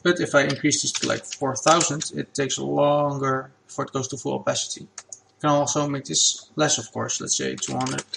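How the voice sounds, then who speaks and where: A man whose first language is Dutch, talking through a software tutorial at his computer in a relaxed, plain step-by-step way. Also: medium pitch, moderate pace, creaky, hardly any pauses, noisy background. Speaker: game asset creator.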